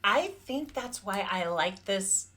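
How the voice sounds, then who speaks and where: A woman's voice: medium pitch, expressive, slow pace, sharp tone. A woman co-hosting a casual book chat in a playful, teasing manner. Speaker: podcast co-host reviewing romance books.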